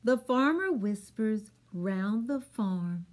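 A middle-aged woman, hosting a storytime for young children in an expressive, clearly phrased read-aloud manner. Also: medium pitch, slow. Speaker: children's storytime host at a public library. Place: small plain room, indoors.

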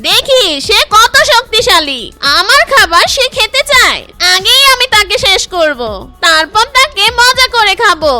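Woman playing a high-pitched cackling cartoon water witch. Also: expressive, unhurried, pushed and bright, full character voice. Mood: indignant, boastful. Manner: cackling, taunting. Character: gloating, menacing green female water witch.

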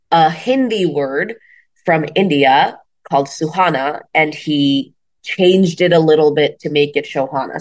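Low-pitched woman from Bangladesh, chatty storyteller. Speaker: comedian, interview guest. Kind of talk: casual conversational interview.

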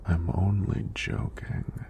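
A man playing a friendly, teasing EMT in a soft-spoken ASMR roleplay, with a deep, breathy, gravelly voice and an unhurried, reassuring manner. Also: sing-song, a little hiss or hum, exaggerated voice. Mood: playful, easygoing. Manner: unhurried, reassuring, lightly playful. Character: friendly, teasing young male EMT doing a screening.

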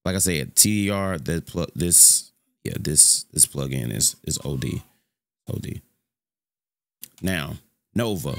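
A young man with a deep voice giving a loose, rambling, slangy tutorial on his streaming setup from his home room. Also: expressive, slow pace, vocal fry, long pauses. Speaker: streamer showing mic settings. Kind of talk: casual screen-recorded tutorial.

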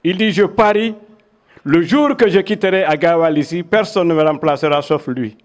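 High-voiced older Guinean man, oratorical. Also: moderate pace. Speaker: veteran local dignitary. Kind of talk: public speech with personal recollections. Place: crowded covered event venue. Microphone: handheld microphones held in front.

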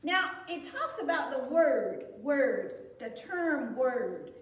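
A woman's voice: high voice, sing-song, takes it slowly. An older woman teaching a Sunday school lesson, reading scripture and explaining it in an earnest, emphatic way, recorded from a distance in a plain room. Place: plain room against a beige wall. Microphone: fixed camera at a distance. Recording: echoey room, voice from far off.

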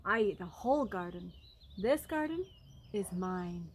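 A woman's voice: fairly low pitch, lively intonation, unhurried, a little hiss or hum, pitched below the performer's own voice. A woman voicing a greedy, boastful woodchuck from a storybook, emphatic and gloating.

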